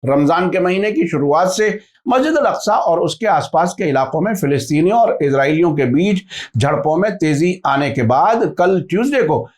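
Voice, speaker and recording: A male news presenter with a somewhat high-pitched voice. He reads a scripted report in a formal, measured way, keeping to the delivery of a bulletin. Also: expressive.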